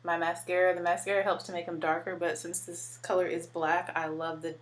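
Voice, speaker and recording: A woman in her twenties with a deeper voice, chatting casually while doing her makeup and using lots of filler words. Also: level tone, fairly quick. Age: 20s. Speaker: beauty vlogger. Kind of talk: casual makeup tutorial chat.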